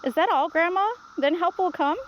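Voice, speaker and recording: Woman reading as an anxious, inquisitive young child, high-pitched. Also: brisk, muffled recording, slight background noise. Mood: worried, curious. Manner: questioning, worried. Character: anxious, inquisitive young grandchild starting kindergarten. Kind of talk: children's storybook read-aloud.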